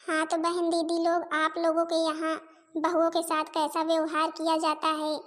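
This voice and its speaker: Casting: woman acting as a very high-voiced cartoon housewife, put-upon, pleading and talkative. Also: completely flat delivery, normal speed. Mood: chatty. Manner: complaining, pleading, addresses relatives and viewers directly. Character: weary, gossipy Indian daughters-in-law in a family cartoon. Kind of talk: Hindi family comedy cartoon voice acting.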